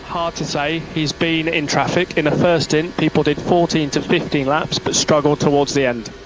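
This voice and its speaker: A man's voice: fairly high pitch, normal speed. Male race engineer over noisy team radio. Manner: clipped, calm, repeats instructions. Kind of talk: terse radio instructions. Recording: noisy motor racing radio, engine noise. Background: car engine noise.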